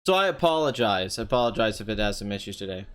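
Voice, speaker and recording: A young man with a somewhat high, nasal voice, strained and hoarse, chatting casually on his live stream and answering viewers' comments. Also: expressive, fast talker, a bit bright. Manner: chatty, rambling, often clears throat, reads chat aloud.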